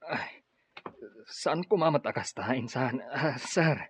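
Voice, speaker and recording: Flustered, swooping, husky schemer. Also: male voice, medium pitch, animated, slow pace, full character voice. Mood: flustered, evasive. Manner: stammering, evasive, exclamatory. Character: shifty, flustered male office schemer caught out. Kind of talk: radio drama acting. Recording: dull muffled radio drama recording.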